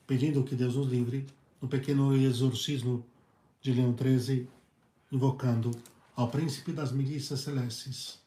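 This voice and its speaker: A hoarse male priest with a Brazilian Portuguese accent, preaching earnestly in halting phrases and often repeating his words. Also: mid-range voice, mellow. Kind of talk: preached talk on a video channel. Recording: echoey room.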